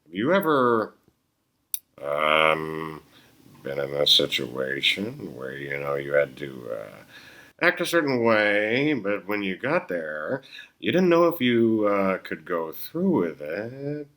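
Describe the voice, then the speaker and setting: Woman doing a very low, halting nervous boy. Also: very animated, a bit bright, exaggerated voice. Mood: hesitant. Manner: halting, hesitant, stammering. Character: nervous, awkward teenage boy in a comedy read. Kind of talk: comic table read impression.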